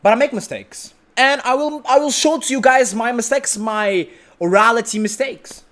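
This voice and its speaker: A man's voice: very high-pitched, normal speed, a bit bright. A man sitting on his sofa at home, delivering an unedited vlog monologue in a brash, self-assured way, rambling with restarts and the odd swear word. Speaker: vlog host.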